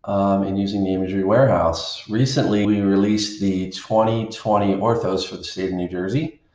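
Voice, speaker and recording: An older man talks through a map tool in a relaxed, plain-spoken way, thinking aloud as he clicks. His voice sits low, and it carries a roomy echo from a small home room. The sound is a little dull, as from a basic desk setup. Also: normal speed. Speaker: state GIS staff presenter. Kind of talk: casual screen-share tutorial.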